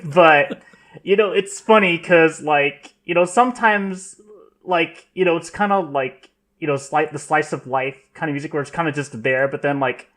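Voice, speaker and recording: A man co-hosting a podcast, rambling casually with lots of "like" and "you know" and a soft trailing delivery. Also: fairly high pitch. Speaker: podcast co-host. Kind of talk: casual chat.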